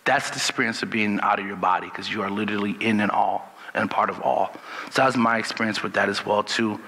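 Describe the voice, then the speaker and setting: A man from Liberia telling a personal story in a relaxed, unhurried storytelling manner. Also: fairly low pitch, gravelly, big-room sound, low-quality recording. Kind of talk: personal testimony.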